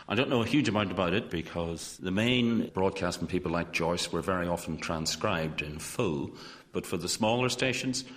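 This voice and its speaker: Low-voiced man in a reverberant room. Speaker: expert interviewee. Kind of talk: informal explanation in interview.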